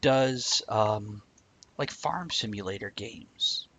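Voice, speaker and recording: A man's voice: on the low side, slow pace, fairly bright tone, slight background noise. A man hosting a live-streamed talk show, chatting casually, explaining ideas eagerly and greeting viewers. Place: home streaming setup.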